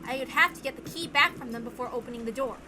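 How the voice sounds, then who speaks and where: A woman reading a dramatic story aloud as narrator, expressive, theatrical and deliberate. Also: high voice, noisy background. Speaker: reader of a visual novel. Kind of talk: dramatic scripted narration with acted dialogue. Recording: background music under the reading. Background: music.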